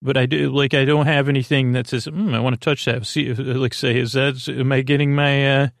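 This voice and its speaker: Man, creaky and gravelly, soothing bedtime podcast host, halting, rambling, meandering asides, dry close recording. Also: medium pitch, flat delivery, no echo. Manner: meandering, halting, trails off into tangents; soothing, lulling delivery.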